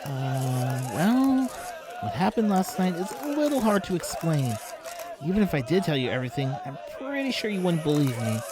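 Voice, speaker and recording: Lively, cheeky young lad, played by an actor. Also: mid-range voice, normal speed, crowd noise, lightly acted. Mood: awkward, amused. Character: friendly, upbeat young lad. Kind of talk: fan audio drama acting.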